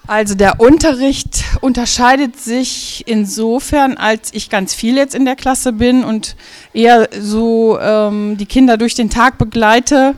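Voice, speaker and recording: A woman's voice: on the high side, steady tone, measured pace, darkish tone, dry recording. A middle-aged woman teacher answering interview questions, explaining patiently.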